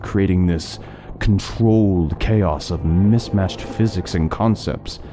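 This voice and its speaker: An actor playing a learned doctor who eagerly lectures on lore in an interactive fantasy story. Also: male voice, deep voice, noisy background. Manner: talkative, lecturing, fond of lore. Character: scholarly male doctor, middle-aged, chatty lore lover.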